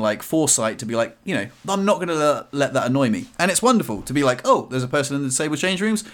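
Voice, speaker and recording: A man with an average-pitched voice, co-hosting a relaxed podcast conversation. He laughs readily and reacts chattily to the other speaker. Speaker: podcast co-host. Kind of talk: casual podcast chat.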